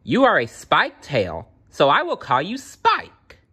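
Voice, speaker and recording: A narrator voicing an eager, friendly little female dinosaur in a storybook, excitable and repeating words. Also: mid-range voice, measured pace, breathy edge, pitched above the performer's own voice, exaggerated voice. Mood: delighted.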